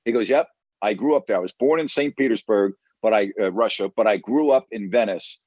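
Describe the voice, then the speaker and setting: A man's voice: lowish voice, fairly quick, darkish tone, husky, no room sound, dull audio. A man chatting casually as a guest, emphatic and repeating himself for stress. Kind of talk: casual chat.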